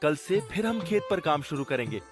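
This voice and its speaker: A man voicing a kind, generous farmer in an animated moral story, who speaks in a caring and expressive way. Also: fairly high pitch, normal speed, over music. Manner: warm-hearted, caring, expressive. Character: kind, generous farmer hero, middle-aged. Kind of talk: cartoon voice acting.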